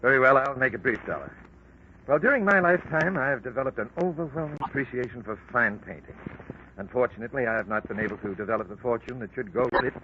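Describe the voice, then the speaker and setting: An actor playing an earnest, proud art patron in a 1940s radio drama, talking in a formal, long-winded way. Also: male voice, medium pitch, animated, fast talker, close to an everyday voice. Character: earnest middle-aged male art patron, fussy and proud. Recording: lo-fi old radio recording with hiss.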